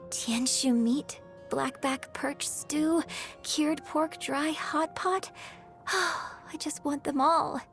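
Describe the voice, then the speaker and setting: A young opera star from a video game, refined and earnest. Her voice sits fairly high with a slightly creaky edge. She words things formally and with genteel theatrical poise, over soft background music. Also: fairly even intonation, very slow, full character voice. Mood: wistful. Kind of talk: video game character voice lines.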